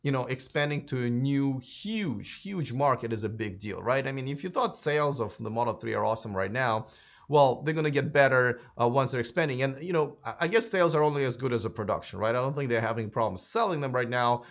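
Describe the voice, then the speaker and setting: A hoarse man hosting his own channel, chatting casually and speculating aloud, with many fillers and restarts. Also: medium pitch, fairly quick, muffled recording. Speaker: YouTube electric car channel host. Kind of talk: casual solo commentary to camera.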